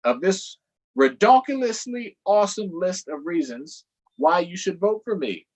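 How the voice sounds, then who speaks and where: A man puts on a pushy, childish storybook donkey. The voice jumps high and swings around with bragging, taunting energy, like a kid running for class president. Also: big pitch swings, unhurried, exaggerated voice, rough recording. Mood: boastful. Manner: boastful, name-calling, childish. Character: boastful, pushy male donkey, childish candidate.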